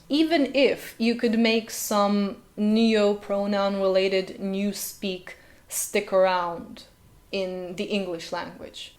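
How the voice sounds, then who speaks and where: Woman, mid-pitched, sarcastic commentary host. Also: a little mellow. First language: Slovenian. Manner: sarcastic, full of rhetorical questions. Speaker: linguist and video host. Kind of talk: commentary vlog.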